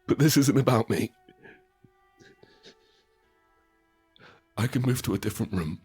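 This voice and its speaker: An actor playing an anguished, guilt-ridden survivor in a post-apocalyptic audio drama, brooding and halting, in a hushed confessional manner. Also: male voice, mid-range voice, breathy edge, dry recording, faint music behind. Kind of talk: dramatic first-person audio drama narration.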